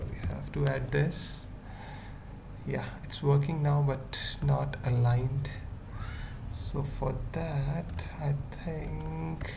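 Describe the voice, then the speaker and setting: A tutorial presenter thinks aloud at a very slow pace, in short murmured pieces broken by long pauses. The voice is of middle pitch and full of vocal fry. The recording is clearly noisy, with keyboard typing behind it. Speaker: web developer giving a tutorial. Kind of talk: unscripted coding screencast, thinking aloud. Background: computer keyboard typing.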